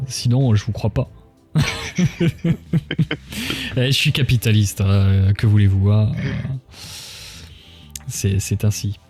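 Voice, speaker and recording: A man with a mellow, relaxed voice at an average pitch hosts a casual interview. He speaks informally, with a tongue-in-cheek edge, over faint background music. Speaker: livestream host, interviewer.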